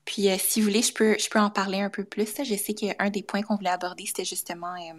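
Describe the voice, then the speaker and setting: A young woman with a Québécois accent, a medical student guest, speaking casually with many pauses and restarts. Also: mid-range voice, level tone. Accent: Québécois French. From Canada. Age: young adult. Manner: thoughtful, many fillers and restarts. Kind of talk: casual interview talk.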